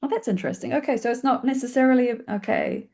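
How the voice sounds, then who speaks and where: A woman with a New Zealand accent, talking fast and informally as she hosts an online webinar, with a chatty, self-deprecating manner. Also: a little high, warm tone. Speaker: webinar host and film community organiser. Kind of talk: informal spoken webinar presentation. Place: online video call.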